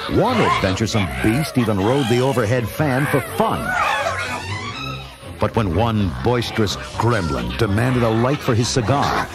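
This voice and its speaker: A man's voice: on the low side, sing-song, unhurried. A man narrating a children's read-along adventure in a dramatic, theatrical scripted style. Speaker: story narrator. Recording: loud music and effects behind narration.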